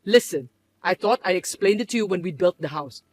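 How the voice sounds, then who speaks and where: A woman voicing a calm, patient grown son. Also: lowish voice, brisk. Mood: firm. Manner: calm, firm, explaining. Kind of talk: dramatized story voice acting.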